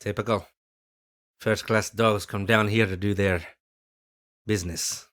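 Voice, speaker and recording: A man's voice: fairly low pitch, steady tone, measured pace, fairly bright tone, no echo, clean studio sound. A man playing a cheeky, proud young passenger in an audio drama, with a breezy, blunt manner. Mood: proud, sardonic.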